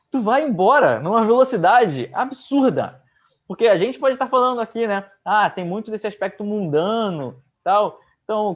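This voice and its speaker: A young man in his twenties with a Brazilian accent, giving a slangy, casual review as co-host. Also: high voice. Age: 20s. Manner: slangy, colloquial. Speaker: co-host of a comics review channel. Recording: slightly dull, lo-fi sound.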